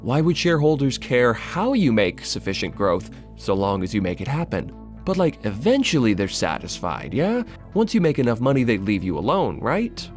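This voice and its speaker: A man delivers scripted video essay narration as if chatting with the viewer. His intonation is lively and wide-ranging, with sardonic emphasis and casual asides. Soft music plays behind his mid-pitched voice. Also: moderate pace.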